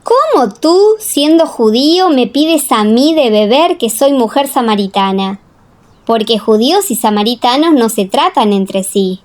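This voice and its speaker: A woman playing a forthright, questioning villager at a well in a dramatized scripture audiobook, with expressive delivery. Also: fairly high pitch, unhurried. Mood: surprised, challenging.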